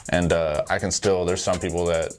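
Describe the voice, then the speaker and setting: A man's voice: deep voice, moderate pace. A young man from Houston talking casually as an interview guest, loose and full of slang and "like". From Houston, Texas. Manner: relaxed, heavy use of "like" and slang. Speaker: guest, dancer. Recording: music playing behind.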